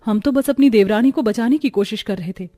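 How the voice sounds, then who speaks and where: Cartoon sister-in-law female actor, high-voiced, sensible and dutiful, explains things earnestly. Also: fairly quick, dark tone, natural voice. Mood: pleading. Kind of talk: Hindi cartoon story dialogue.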